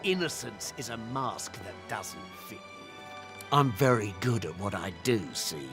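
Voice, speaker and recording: Sadistic witch-hunter, video game, gravelly and very animated, theatrical and taunting, over clear music. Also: on the low side. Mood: gloating menace. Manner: ornate, deliberate, theatrical, taunting. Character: sadistic, menacing male inquisitor hunting sorcerers.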